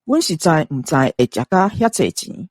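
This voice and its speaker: A woman's voice: sits low, sing-song, normal speed, darkish tone, husky, no echo, clean studio sound, full character voice. A woman voicing a humble, hard-working young country companion in a Taiwanese-accented radio drama. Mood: pleading.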